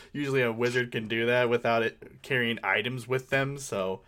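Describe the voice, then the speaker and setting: A man co-hosting a casual conversation about tabletop gaming, talking in an informal, rambling and halting way. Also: medium pitch, steady tone, a bit bright. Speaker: co-host.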